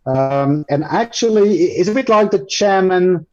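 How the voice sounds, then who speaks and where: A man's voice: high voice, animated, a little slow, dull audio, soft background music. A man whose first language is French, presenting a live screen-share tutorial over a video call, with many ums and restarts. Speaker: guest presenter.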